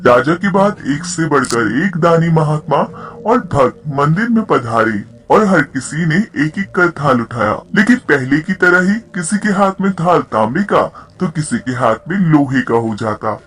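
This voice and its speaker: A man's voice: on the high side, lively intonation, moderate pace, fairly bright tone, husky. Man narrating a scripted folk tale.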